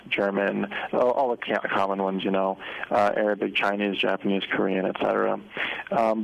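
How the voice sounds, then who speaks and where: A middle-aged man with a low, gravelly voice talks as a guest on a radio show. His first language was Spanish, and he halts often with fillers and restarts. The broadcast sound is muffled and lo-fi. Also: a little hiss or hum. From United States. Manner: hesitant, many fillers and restarts. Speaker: business owner guest. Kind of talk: casual radio interview.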